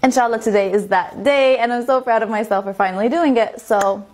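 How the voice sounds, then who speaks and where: A woman's voice: fairly high pitch, fairly quick. A woman in her twenties from Estonia, a native Estonian speaker, telling a personal story casually as a video blogger, chatty and repeating words for emphasis. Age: 20s.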